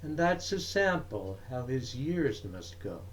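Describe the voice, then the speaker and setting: A man giving a dramatic recitation of poetry, with deliberate, emphatic phrasing and frequent pauses. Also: medium pitch, sing-song, slight background noise. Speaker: reader of poetry.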